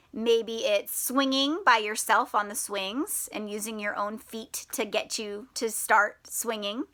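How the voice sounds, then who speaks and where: A woman teacher reading a story aloud to children in an animated, encouraging way, often asking them questions. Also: mid-range voice.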